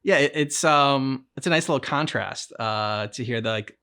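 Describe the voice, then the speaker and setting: A man hosting a game reaction, chatting casually in a halting, rambling way and often trailing off mid-thought. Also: mid-range voice, bright, forceful tone, smooth. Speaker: reaction channel host. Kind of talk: casual reaction chat about a video game.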